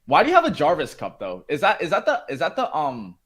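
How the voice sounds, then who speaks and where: A man's voice: a little high, huge pitch swings, fast, fairly bright tone. A man co-hosting a casual live chat, playful and chatty, often jumping in with questions. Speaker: co-host.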